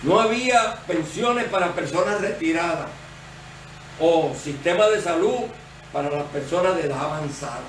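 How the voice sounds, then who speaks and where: A man with a Puerto Rican accent preaching a sermon as associate pastor, in a measured, explanatory way. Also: fairly high pitch, expressive, fairly bright tone. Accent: Puerto Rican Spanish. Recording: roomy, distant church recording with faint noise.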